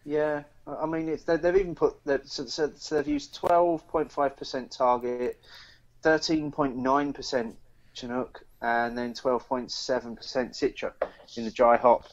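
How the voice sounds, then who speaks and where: A man co-hosting a podcast in casual review chat, haltingly repeating words as he thinks aloud. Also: medium pitch, steady tone. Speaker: podcast co-host. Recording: dull, slightly lo-fi voice recording.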